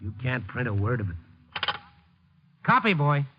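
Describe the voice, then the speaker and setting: A man's voice: mid-range voice, big pitch swings, measured pace. An American man acting in a 1950s radio drama as a lovelorn, world-weary, slangy city reporter. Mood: resigned, wry. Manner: slangy, hard-boiled, wry. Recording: muffled 1950s radio recording, music underneath.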